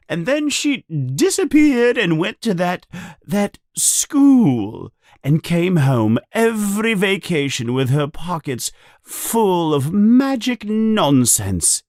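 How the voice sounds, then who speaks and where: A man's voice: high voice, darkish tone, no room sound, clean studio sound, voice pushed up, heavily acted. A man voicing a resentful middle-aged aunt in a storybook reading, ranting shrilly and angrily.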